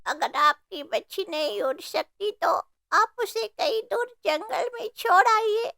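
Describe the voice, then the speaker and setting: A woman puts on a meddling cartoon crow lady, pushy and callous. The voice is extremely high with a creaky edge, recorded very dry. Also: animated, a little slow, pushed and bright, no echo, heavily acted. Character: meddling, callous crow neighbour, adult female bird. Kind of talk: Hindi cartoon story voice acting.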